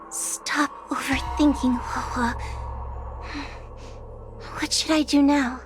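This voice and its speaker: A woman's voice: sits high, steady tone, extremely slow. A woman voicing a timid, anxious, lonely young fox girl in a video game, hesitant and plaintive. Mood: confused, frightened. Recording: music under the voice.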